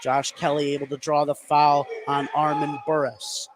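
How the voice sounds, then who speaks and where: A man's voice: monotone, normal speed. A man doing live play-by-play radio commentary of a basketball game, lifting his voice on big plays. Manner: voice rises into shouts on big plays. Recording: clear gym noise behind the voice. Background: indoor high school gymnasium ambience.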